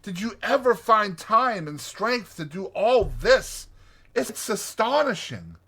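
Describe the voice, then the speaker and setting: Small, courteous storybook mole, acted by a man: earnest, admiring, high-set and lilting. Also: big pitch swings, slow pace, bright, forceful tone, breathy edge, exaggerated voice. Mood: amazed. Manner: earnest, appreciative. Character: polite, homely, admiring little mole. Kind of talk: dramatized storybook reading.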